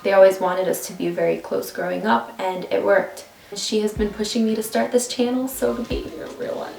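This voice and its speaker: A woman's voice: medium pitch, fairly even intonation, a little fast, creaky, darkish tone. A woman in her twenties from New York City, chatting casually and rambling with asides in a get-to-know-me vlog recorded on a phone at home. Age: 20s. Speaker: vlogger. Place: at home, indoors. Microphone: phone camera. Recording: roomy room with faint background music.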